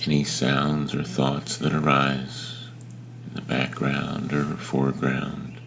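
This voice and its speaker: A man leading a guided meditation in a gentle, unhurried manner with long pauses between phrases. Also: extremely low pitch, completely flat delivery, creaky, a little hiss or hum. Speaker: meditation teacher.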